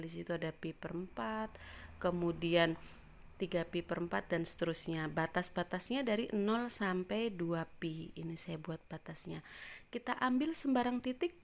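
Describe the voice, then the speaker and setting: A woman's voice: on the low side, vocal fry. A woman math teacher explaining step by step in an informal tutorial style.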